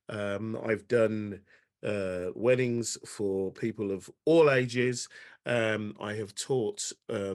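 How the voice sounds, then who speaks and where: A man in his sixties, a pianist introducing himself on a video call, friendly with stumbling restarts and many ums. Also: on the low side. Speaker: pianist and guest speaker. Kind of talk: casual self-introduction talk.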